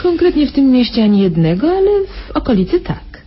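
Cold, coy contract killer, dully recorded. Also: female voice, high-pitched, big pitch swings, a little fast, husky, full character voice. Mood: coy, businesslike. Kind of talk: Polish radio crime drama acting. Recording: dull radio recording with faint noise.

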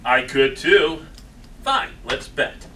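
A man playing a boastful, girl-chasing coffee shop worker at a comedy table read, with a brash, drawn-out delivery. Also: medium pitch, big pitch swings, sharp tone, echoey room, exaggerated voice. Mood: boastful, defensive. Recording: distant camera mic, faint noise.

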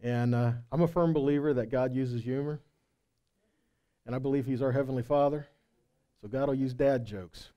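A man's voice: mid-range voice, normal speed, long pauses, warm tone. A man in his forties preaching in a folksy, conversational and emphatic way on a headset mic, moving between casual storytelling and scripture reading. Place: indoors on a stage at a congregation hall. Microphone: headset microphone.

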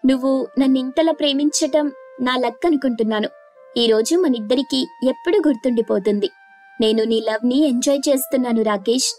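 A woman voicing a dreamy, excitable young woman in love, in a girlish, dramatic manner for a cartoon story. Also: high voice, a little fast, soft background music, natural voice. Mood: delighted.

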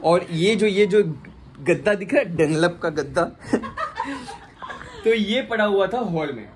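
A man's voice: sits high, sing-song, slow pace, background noise. A young man in his twenties hosting a playful, joking house tour with a phone held at arm's length indoors. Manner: playful, joking, mixes Hindi and English. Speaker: vlogger host. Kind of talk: casual house-tour chat. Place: apartment rooms indoors.

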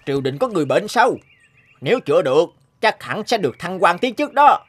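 A man's voice: high-pitched, very animated, a little fast, slightly rough, exaggerated voice. A man voicing a jealous, scheming rich young villager in a folk tale cartoon. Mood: gloating. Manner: gloating, scheming, sneering laughs. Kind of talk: animated folk tale voice acting. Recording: music bed behind the voice.

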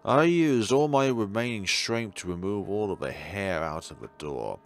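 A man reading a horror game aloud and commenting on it in a theatrical way, given to sudden loud outbursts. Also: on the low side, lively intonation, normal speed. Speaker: let's player. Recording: background music behind the voice. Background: game music.

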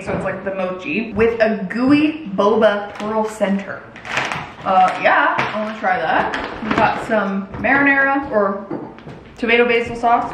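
Woman, thirties, American accent, casual vlog chatter, rambling, echoey kitchen, background noise. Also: fairly high pitch. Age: 30s. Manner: chatty, rambling, girly slang. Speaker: lifestyle vlogger. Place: home kitchen, indoors. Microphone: camera mic a few feet away.

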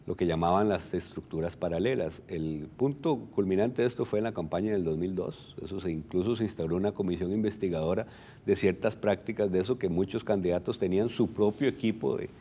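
A man's voice: low-pitched, fairly quick, warm tone, a little hiss or hum. A middle-aged Costa Rican man speaking as an expert guest in a television interview, explaining things didactically. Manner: didactic, poses and answers own questions. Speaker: electoral registry director, interview guest. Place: television studio. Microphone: lapel mic.

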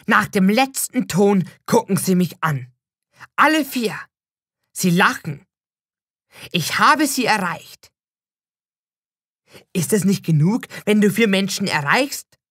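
A woman's voice: medium pitch, animated, slow pace, pauses often, a bit bright, breathy edge, no echo, studio quality. A woman reading a scripted first-person narration as a storyteller.

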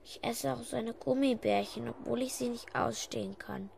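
A female performer playing a stubborn, earnest, grieving little girl with a creaky voice in an audio drama. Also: a little high, steady tone, normal speed, darkish tone, slight background noise. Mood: sad, determined. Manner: earnest and insistent.

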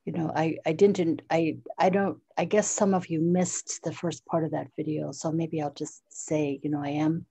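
An older woman from the United States speaking slowly and haltingly, introducing herself on a video call. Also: low voice, little pitch movement, creaky, warm tone, husky, no room sound. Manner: slow, halting, reflective. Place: indoor room.

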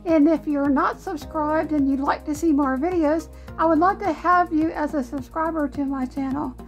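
A woman's voice: high-pitched, steady tone, normal speed, muffled recording, soft background music. A woman hosting a crafting channel, chatty and laughing often, with her voice worn from a long day. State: losing her voice. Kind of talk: casual vlog talk. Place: home craft room.

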